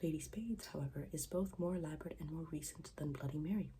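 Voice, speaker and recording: A woman playing a morbid, deadpan schoolgirl in an ASMR roleplay, speaking softly and formally, often slipping into a whisper. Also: low-pitched, a little hiss or hum, close to an everyday voice. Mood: formal, ominous. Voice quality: soft, breathy, often dropping into whisper. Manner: deadpan, formal, deliberate wording.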